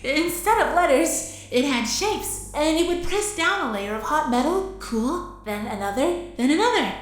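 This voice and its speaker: An actress playing a plucky, giggly teenage girl inventor in an audio drama, halting with little "uhs" as she talks. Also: high-pitched, expressive. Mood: giggly, wincing. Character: plucky teenage girl inventor turned walking war machine. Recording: roomy echo, music underneath.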